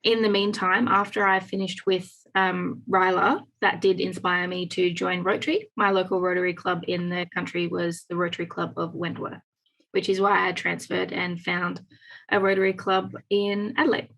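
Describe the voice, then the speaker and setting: A woman's voice: on the low side, flat delivery, creaky, fairly bright tone, low-quality recording. Australian woman reading her bio. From rural New South Wales. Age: late 20s. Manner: brisk, reads from notes. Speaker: club president.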